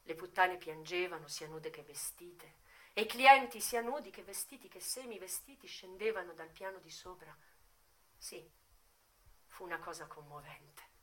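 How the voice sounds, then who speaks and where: An actress playing a worldly, wistful retired brothel madam in a theatrical radio monologue, with dramatic pauses and swings between hushed and emphatic delivery. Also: female voice, fairly low pitch, expressive, close to an everyday voice. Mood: wistful, moved.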